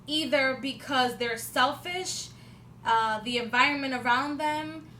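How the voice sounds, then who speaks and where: A high-pitched woman in her twenties talks in a loose, rambling vlog, full of fillers and restarts. She swears now and then for emphasis. The room sounds echoey, with a faint hiss of noise behind her. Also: a little slow, bright, forceful tone. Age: 20s. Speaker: vlogger. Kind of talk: casual spiritual talk to camera.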